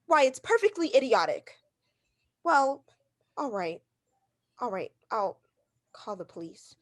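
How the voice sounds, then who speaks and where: Woman acting a frantic neurotic rich wife in a radio thriller, high swoopy voice, bossy and worked up. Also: big pitch swings, slow, heavily acted. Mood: indignant. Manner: imperious, agitated, insistent. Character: neurotic, demanding invalid wife, frantic and haughty. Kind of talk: radio mystery drama acting.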